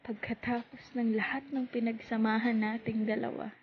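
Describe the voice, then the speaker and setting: A woman with a Filipino accent performing heartfelt spoken-word poetry in short, deliberate phrases with pauses. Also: on the high side, flat delivery, a little hiss or hum. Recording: tinny phone-like sound.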